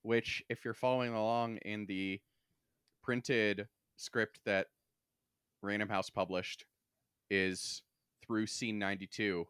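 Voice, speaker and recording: Man, lowish pitch, bright tone, wry, casual chat, podcast host, dry recording. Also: steady tone, slow, pauses often, clear, resonant tone, dry recording.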